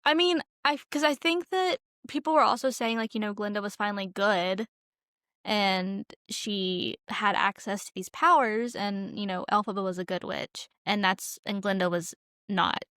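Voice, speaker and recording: A woman's voice: medium pitch, moderate pace, a bit bright, dry recording, clean studio sound. A woman co-hosting a podcast, talking in a casual, conversational style. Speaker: podcast co-host. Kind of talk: casual chat.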